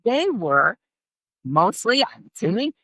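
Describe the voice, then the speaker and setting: A woman's voice: mid-range voice, sing-song, slow, pushed and bright, no echo, studio quality. A middle-aged woman speaking as an interview guest and care specialist, explaining and advising emphatically and repeating phrases for stress.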